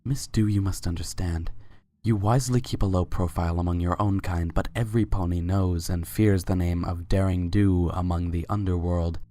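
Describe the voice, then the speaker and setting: A man voicing a suave, courtly pony Sultan who speaks formally and flatters without hurry in a fan fiction reading. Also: deep voice, normal speed, natural voice. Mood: earnest, flattering. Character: suave, courtly winged unicorn Sultan, adult male pony.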